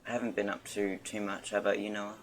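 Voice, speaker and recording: A man's voice: on the low side, level tone, fast talker, faint music behind, rough recording. A man giving casual live commentary as a game streamer, in a muttering, laid-back way.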